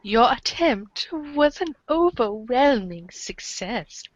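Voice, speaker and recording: A woman's voice: a little high, animated, slow, no room sound, muffled recording. A woman reading scripted comic narration aloud for a podcast. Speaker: podcast reader of a webcomic.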